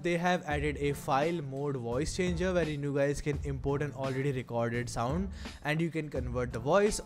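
Male tutorial presenter, higher-pitched voice, promotional and enthusiastic, clear music bed underneath. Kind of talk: screen-recorded tutorial narration. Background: background music.

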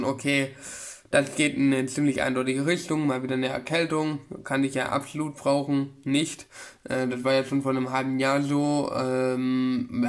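Rambling young man, flat voice. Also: medium pitch. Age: about 21. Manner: rambling, many fillers and restarts. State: getting over a cold. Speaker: vlogger. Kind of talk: casual chat. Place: small room with bookshelf, indoors. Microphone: webcam at desk, close.

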